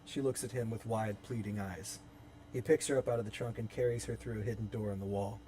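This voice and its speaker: A man's voice: lowish voice, steady tone, a little fast, warm tone, slight background noise, low-quality recording. A man reading stage directions as the narrator of a horror script in a live table read.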